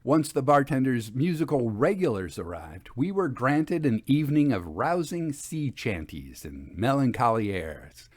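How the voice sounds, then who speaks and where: Expressive male storyteller with lively pitch. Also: measured pace, few pauses, no room sound, clean studio sound. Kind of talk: scripted first-person story narration.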